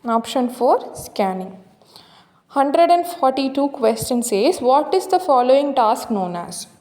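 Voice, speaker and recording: A woman's voice: high voice, very animated, measured pace, warm tone. A woman with a South Indian accent teaching, working through exam questions and explaining answers step by step, with small checking tags. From Tamil Nadu, India. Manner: explanatory, checks with "right?" and "okay". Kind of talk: exam question walkthrough lesson.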